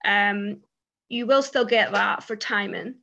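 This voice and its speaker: A British woman speaking on an online panel, with a stammer that shows in repeated words and frequent fillers. She talks in a relaxed, conversational way at a mid pitch, and her line sounds a little dull and muffled. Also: takes it slowly, creaky. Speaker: panellist, journalist who stammers. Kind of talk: casual panel discussion.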